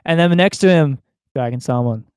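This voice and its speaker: A man hosts a casual podcast in a slightly high, very clear voice. The sound is close and dry, and his delivery is conversational. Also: normal speed, darkish tone, no echo. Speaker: podcast host.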